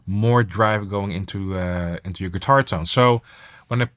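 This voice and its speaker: A man's voice: deep voice, moderate pace, fairly bright tone. Man casually presenting a music production tutorial.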